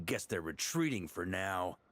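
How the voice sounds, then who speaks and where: Assured, lively fantasy commander. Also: male voice, sits low, exaggerated voice. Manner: assured, conversational, explanatory. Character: confident seasoned male expedition commander in fantasy game. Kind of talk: video game voice acting.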